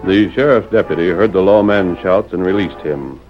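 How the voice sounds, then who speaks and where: A muffled old broadcast with faint music behind carries a man announcing a radio drama. His voice is low, and he narrates in a formal, dramatic 1940s style. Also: normal speed.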